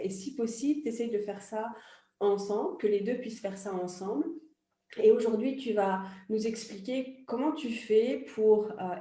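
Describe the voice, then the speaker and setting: A woman's voice: mid-range voice, warm tone, roomy sound. A woman hosting a live online interview, chatty and laughing easily, with many fillers. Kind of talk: casual live chat.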